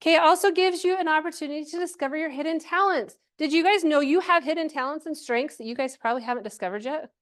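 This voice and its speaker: A woman's voice: high-pitched, quick pace, hardly any pauses, a bit bright. A woman giving an informal workshop presentation to a live group, chatty and encouraging, often checking in with questions.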